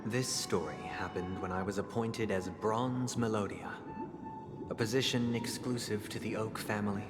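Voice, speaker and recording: An actor playing a solemn noble man who heads a family, telling stories in a measured, formal way with soft, hushed phrases. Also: on the low side, steady tone, natural voice. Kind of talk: game dialogue voice acting. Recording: reverberant with game music.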